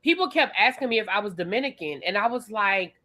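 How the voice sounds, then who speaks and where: A woman's voice: medium pitch, expressive, fast, pushed and bright. A woman from Washington, D.C. co-hosting a casual TV recap chat, blunt and emphatic, pressing her points. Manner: emphatic, blunt, repeats points with rising exasperation. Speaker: podcast co-host.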